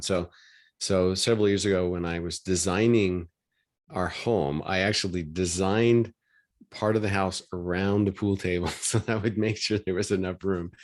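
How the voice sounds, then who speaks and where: A middle-aged man from Washington State telling a story in a matter-of-fact, chatty way on a video call. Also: low-pitched. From Washington State, USA.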